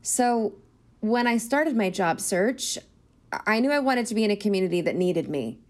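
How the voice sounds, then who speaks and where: A woman plays a nervous young schoolteacher in a naturalistic audio drama. She speaks in a medium-pitched voice, careful and hesitant, earnest and keen to please. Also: expressive, normal speed, a little mellow, lightly acted. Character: nervous, eager-to-please young single woman, new teacher.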